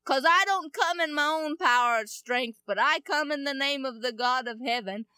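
A woman's voice: high voice, normal speed, sharp tone, dry recording, voice pushed up. A woman doing an earnest young shepherd hero. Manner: earnest, insistent.

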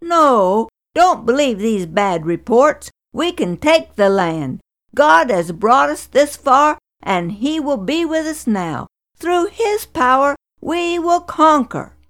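Two faithful, brave men in a children's Bible story, earnest and encouraging, with a lively rising voice, as a woman reads them. The pace is slow and deliberate. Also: high-pitched, voice pushed up, full character voice.

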